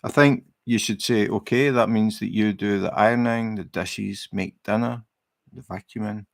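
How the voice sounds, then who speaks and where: A middle-aged Scottish man chatting casually on a livestream while he crafts, relaxed and rambling, with many fillers and restarts. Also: lowish voice, normal speed, gravelly, no echo, studio quality. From Scotland. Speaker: livestream host. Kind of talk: casual chat while crafting, reading viewer comments.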